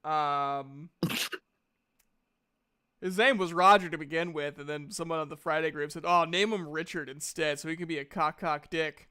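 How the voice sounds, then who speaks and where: A man working as game master, narrating casually and haltingly with repeated words. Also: a little high, steady tone, sharp tone, clear, resonant tone.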